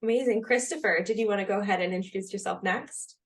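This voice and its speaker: A woman's voice: mid-range voice, fast. A young woman hosting a university Q&A, upbeat and chatty with lots of small fillers. Her voice sits in a normal middle range and sounds a bit distant from the microphone. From Colorado, USA. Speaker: admissions counselor and host. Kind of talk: hosting a Q&A panel.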